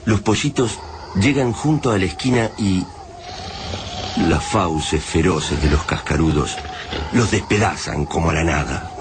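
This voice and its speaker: An actor playing the grave narrator of an alien-invasion radio drama, solemn and literary, with an Argentine accent. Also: male voice, low-pitched, lively intonation, measured pace, noisy background. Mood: tense.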